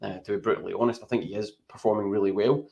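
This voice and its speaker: A man's voice: on the low side, steady tone, very fast, vocal fry, darkish tone, dull audio. A Scottish man talking football on a podcast in a chatty, rambling way, often tagging his points with "right?". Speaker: podcast co-host. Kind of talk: casual football chat.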